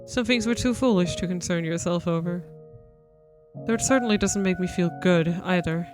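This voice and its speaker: A woman's voice: on the low side, normal speed, over music. Woman streamer narrating a visual novel. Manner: steady narration with playful retorts. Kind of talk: reading a visual novel aloud.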